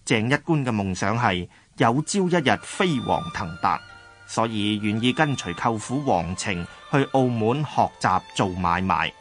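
Slow Hong Kong Cantonese male narrator. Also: lowish voice, lively intonation, fairly bright tone, faint music behind. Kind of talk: scripted historical narration.

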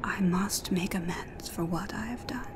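A woman voicing a sorrowful female snow spirit in a soft, ghostly whisper. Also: on the low side, measured pace, a little hiss or hum, close to an everyday voice. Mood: soft, remorseful.

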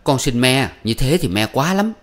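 A man's voice: mid-range voice, sing-song, normal speed, voice pushed up, lightly acted. A man voicing an anxious young husband who pleads with his mother. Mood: pleading. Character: young husband, caught between wife and mother.

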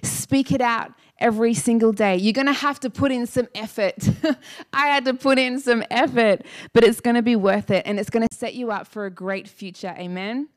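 Brief: woman in her 30s, lively church preacher, smooth clear voice, handheld mic. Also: fairly high pitch, normal speed. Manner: energetic, emphatic, repeats key lines and asks "Amen?". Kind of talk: sermon. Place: church stage with dark blue lighting. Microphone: handheld microphone.

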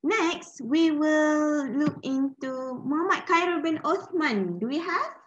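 A woman's voice: sits high, animated, slow. A woman in her thirties with a Malaysian accent, teaching an online class informally and often checking in with listeners over a headset on a video call. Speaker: university lecturer leading an online training. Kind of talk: interactive online lecture. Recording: dull, lo-fi video-call audio.

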